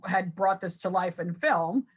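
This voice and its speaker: A woman actor talking about her role in a casual video-call talkback, halting with many fillers. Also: lowish voice, breathy edge.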